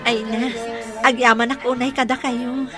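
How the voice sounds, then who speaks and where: Bright Ilocano young mother. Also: fairly high pitch, flat delivery, moderate pace. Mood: grateful. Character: Sunshine, grateful young mother and new graduate. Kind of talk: Ilocano radio drama acting. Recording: dry studio with music bed.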